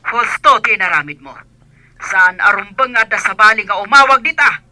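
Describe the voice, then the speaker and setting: Lady doing a bossy ilocano madam on a phone filter, really sharp and dry, radio comedy. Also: mid-range voice, animated, moderate pace, no room sound, rough recording. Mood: scolding. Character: bossy, stern lady of the house. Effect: telephone filter. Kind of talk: radio comedy drama acting.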